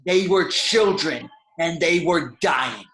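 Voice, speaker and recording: A man's voice: fairly high pitch, animated, takes it slowly, a bit bright, slight background noise. A man playing an embittered, accusing villain with wounded intensity in an amateur screenplay table read. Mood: angry, accusing. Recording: echoey home room.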